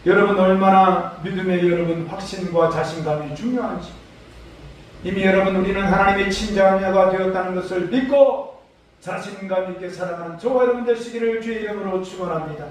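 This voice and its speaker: A man's voice: high-pitched, a little fast, a little hiss or hum. A man preaching a Sunday sermon as a pastor, exhorting with emphasis. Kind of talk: Sunday sermon with scripture readings. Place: large church sanctuary during a service. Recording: echoey church hall, far from mic.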